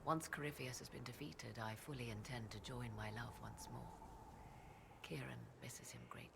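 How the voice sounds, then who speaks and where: A woman's voice: rumbling low, steady tone, moderate pace, creaky, background noise. An actress playing a proud, aloof female witch and mother in a fantasy video game cutscene. She speaks in formal, archaic diction with a haughty, dry manner.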